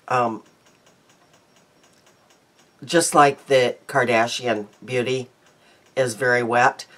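A woman's voice: extremely low pitch, a bit bright. A woman in her fifties chatting casually about her day and makeup in a rambling daily vlog, sounding congested, recorded on a close webcam in a small room at home. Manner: chatty, rambling, frequent ums. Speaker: beauty vlogger.

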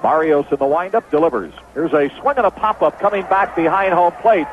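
An American man does baseball play-by-play in the manner of 1970s radio. His voice sits high for a man. The old broadcast is thin and tinny, with some noise over it. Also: a little fast. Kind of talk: 1970s radio sports commentary. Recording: noisy phone-band vintage radio broadcast.

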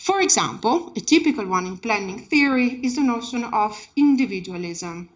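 A woman speaks at a lectern with a fairly high voice, telling her story as an academic lecture. Her delivery is emphatic and passionate, leaning on key words. The recording from the seminar room is slightly muffled and lacking in detail. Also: lively intonation, normal speed. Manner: emphatic, dramatic, impassioned delivery. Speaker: keynote speaker, academic researcher.

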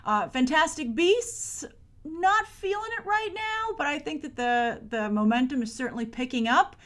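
An American woman in her thirties with a fairly high voice presents movie business commentary. She talks chattily to the camera as a show host, leaning hard on key words and stretching some of them out for emphasis. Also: expressive, moderate pace, a bit bright.

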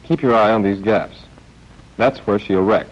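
A man's voice: lowish voice, measured pace. An American man narrating a scripted 1940s training film in a wry, understated way, on an old film soundtrack. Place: voice-over recorded for a film. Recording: dull, band-limited old film soundtrack.

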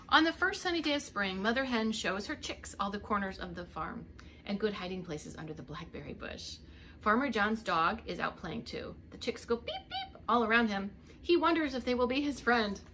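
A woman's voice: fairly high pitch, big pitch swings, normal speed, pushed and bright, a little hiss or hum. A middle-aged woman reading a picture book aloud as a preschool teacher, expressively, with little asides to young children. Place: indoors, home living room.

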